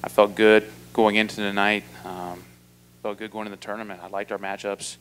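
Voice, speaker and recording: A man in his forties, a basketball coach answering press questions after a loss, measured and halting with many fillers, speaking into a table mic in a press room. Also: fairly low pitch, a little hiss or hum, rough recording. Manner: measured, flat delivery with many fillers and restarts. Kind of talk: postgame press conference answers. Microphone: table mic in front.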